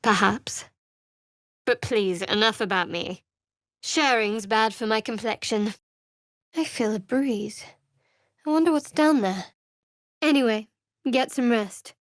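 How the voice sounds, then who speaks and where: Guarded, sardonic young cleric, higher voice. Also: female voice, slow, long pauses, no echo. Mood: wry, guarded. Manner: dry, guarded, wry delivery. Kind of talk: video game character voice acting.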